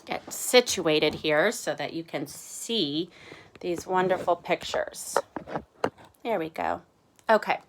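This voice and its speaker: A woman teacher reading aloud to young children, chatting conversationally, explaining gently and asking them questions. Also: on the low side, takes it slowly, background noise. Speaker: kindergarten teacher.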